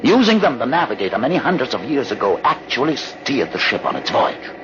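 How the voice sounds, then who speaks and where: An actor playing a learned, commanding older rebel aboard a starship in a radio drama, speaking in a deliberate, theatrical, condescending way. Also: male voice, medium pitch, big pitch swings, lightly acted. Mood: grave, masterful. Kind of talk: old-time radio drama acting. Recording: muffled, noisy old radio recording.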